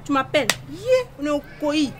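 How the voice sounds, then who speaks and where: Loud scolding woman. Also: high-pitched, big pitch swings, moderate pace, a crowd in the background. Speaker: comic actress. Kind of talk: comedy sketch. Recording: noticeable background hiss.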